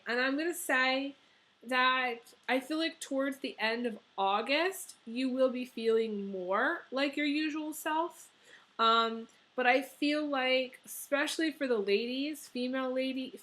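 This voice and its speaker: A young woman with a hoarse, fading voice, reading tarot in a casual, rambling way with many pauses and ums. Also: fairly high pitch, a bit bright. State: hoarse, losing her voice. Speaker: tarot reader.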